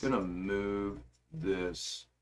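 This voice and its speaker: A man's voice: sits low, flat delivery, drawn-out words, soft background music. A man hosting a stock market live stream, talking casually and emphatically over his charts, swearing freely and reading chat aloud, grew up in western Pennsylvania but spent his adult life in the South. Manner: profane, emphatic, restless, trails off while working charts. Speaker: stock market livestream host.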